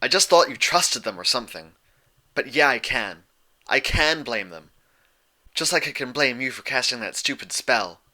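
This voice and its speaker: Storybook role: brash, defensive pegasus mare, blunt and sarcastic, pitched up, sharp-edged male reader performing. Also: animated, normal speed. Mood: sullen, sarcastic. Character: brash, defensive young female pegasus pony, stubborn and hurt.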